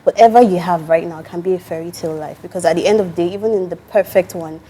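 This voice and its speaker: Mellow-voiced woman. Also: fairly low pitch, fast, slight background noise. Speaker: guest singer. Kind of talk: casual panel chat.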